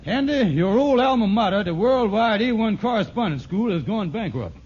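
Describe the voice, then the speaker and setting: An actor playing a scheming, fast-talking lodge boss in a 1940s radio sitcom, folksy and ungrammatical, with a hesitant, wheedling manner. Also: male voice, high-pitched, lively intonation, darkish tone, slightly rough. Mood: mock grieving. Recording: muffled, noisy old radio recording.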